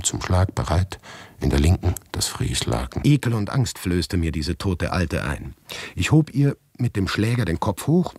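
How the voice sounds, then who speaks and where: An actor playing a morbid, harried writer who narrates his grim predicament deliberately and dryly in a radio drama. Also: low voice, darkish tone, husky, no echo, heavily acted. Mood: disgusted, strained. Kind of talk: literary radio drama monologue, first-person narration.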